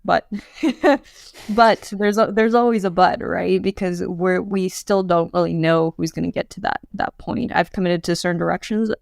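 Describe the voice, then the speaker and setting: A woman's voice: fairly low pitch, fairly even intonation, moderate pace, creaky, no room sound, studio quality. A woman in her thirties, a podcast guest, talking candidly and chattily with many fillers. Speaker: podcast guest, quantum computing founder. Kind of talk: casual interview chat.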